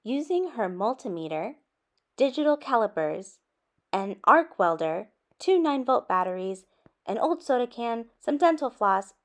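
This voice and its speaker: A woman reading a children's story aloud in an expressive way, with animated asides addressed to young listeners. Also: on the high side. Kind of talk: expressive picture-book read-aloud.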